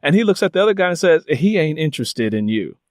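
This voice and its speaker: A man's voice: a little high, big pitch swings, fast talker, dry recording, studio quality. A man hosting a casual interview, telling personal stories in a meandering way peppered with "you know". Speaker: interviewer and host.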